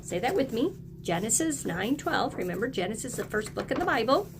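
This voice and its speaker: A woman's voice: a little high, big pitch swings, brisk, background noise. A woman teacher leads a read-aloud lesson for young children, encouraging them and prompting them with questions and repeats.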